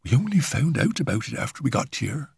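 A man voicing a frightened young woman in a storybook reading, talking in a hurried, halting, nervous way. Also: mid-range voice, big pitch swings, creaky, darkish tone, breathy edge, dry recording, clean studio sound, pitched above the performer's own voice, full character voice.

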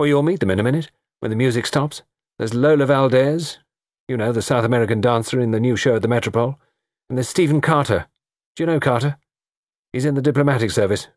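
A man voicing a flippant, lovesick young society gentleman with a theatrical, bantering, mock-gloomy way of talking. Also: mid-range voice, no echo, studio quality, voice pushed up, close to an everyday voice.

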